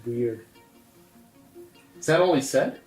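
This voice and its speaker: A woman's voice: very deep voice, expressive, takes it slowly, darkish tone. A woman playing a tabletop game, chatting casually in character. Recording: echoey room with faint music.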